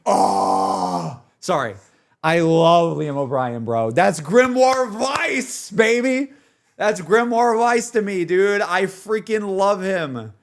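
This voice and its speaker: A man's voice: sits high, animated, slow. A man streaming his casual, exclamatory reactions to game footage, using slang and repeating his phrases. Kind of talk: casual excited live reaction.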